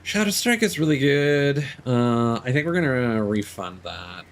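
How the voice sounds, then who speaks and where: A man's voice: medium pitch, expressive, a little slow. A man streaming a game, rambling through live commentary and correcting himself often, with casual swearing. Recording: noisy background.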